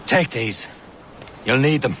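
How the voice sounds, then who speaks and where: An actor playing a steady, folksy assassin who keeps the peace in a video game. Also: male voice, mid-range voice, takes it slowly, noisy background, close to an everyday voice. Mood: firm. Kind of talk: cinematic video game acting.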